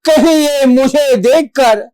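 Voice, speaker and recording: Stage actor for devoted, valiant monkey-god messenger: high voice, formal declamation, very drawn-out pacing, dramatic pauses. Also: mellow, dry recording, studio quality. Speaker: stage actor in a monologue competition. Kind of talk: theatrical Hindi devotional stage monologue.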